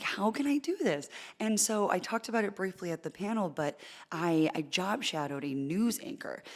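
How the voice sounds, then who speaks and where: A woman in her thirties with a deep voice, a sportscaster telling career stories in a casual interview, chatty with lots of restarts. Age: 30s. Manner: chatty, animated storytelling with fillers and restarts. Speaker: sportscaster guest.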